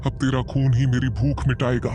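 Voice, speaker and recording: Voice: medium pitch, level tone, normal speed, dark tone, soft background music, close to an everyday voice. A performer voicing a bloodthirsty female witch in a cartoon horror story, gloating and threatening. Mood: gloating menace.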